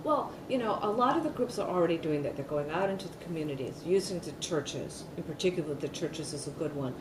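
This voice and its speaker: A woman's voice: sits low, animated, fast. A middle-aged woman giving an oral history interview, talking loosely with many fillers and restarts. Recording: echoey room, mic at a distance, noisy.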